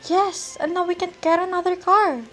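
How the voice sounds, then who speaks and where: A woman playing a polite, apologetic society lady making a telephone call in an amateur stage play, sounding hurried. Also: very high voice, steady tone, a bit bright, soft background music.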